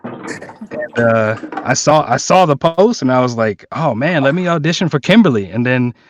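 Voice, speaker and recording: A man's voice: medium pitch, expressive, normal speed, a little hiss or hum. A man in a cast call telling an anecdote in an informal, self-deprecating way. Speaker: voice actor, cast member. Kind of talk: casual anecdote in group chat. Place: online voice call.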